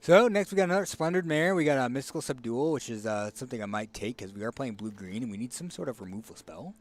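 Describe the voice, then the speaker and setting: Streamer type, raspy and a bit hoarse, casual think-aloud game commentary, mid-pitched. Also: male voice, lively intonation, fast talker. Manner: thinks aloud, hesitant fillers and restarts. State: hoarse, tickly throat.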